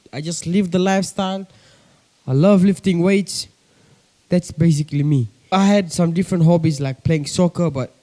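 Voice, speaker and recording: A man's voice: on the high side, warm tone. A young man from Kenya with a coastal Kenyan accent, chatting casually to camera from his bedroom about his hobby and often calling his viewers guys. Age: young adult. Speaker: pigeon-keeping vlogger. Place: bedroom with a desk.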